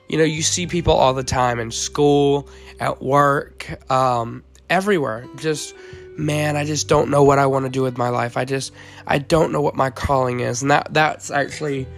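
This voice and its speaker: A teenage boy, hoarse from the flu, hosting a faith podcast in an earnest tone with frequent ums and uhs. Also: medium pitch, fairly bright tone. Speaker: podcast host.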